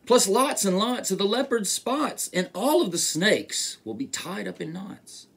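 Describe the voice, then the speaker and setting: A man's voice: high voice, sing-song, measured pace, creaky, a bit bright, slightly rough, pitched above the performer's own voice, exaggerated voice. A man voicing a panicky, yelping zebra in a rhyming storybook. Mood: alarmed. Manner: yelping, exclamatory. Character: panicky zebra yelping about losing its stripes.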